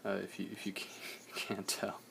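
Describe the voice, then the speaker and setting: Deep, gravelly man, slightly hoarse. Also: moderate pace, slight background noise. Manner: relaxed, rambling, many fillers. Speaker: artist streaming a drawing session. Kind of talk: casual live-stream chat while drawing.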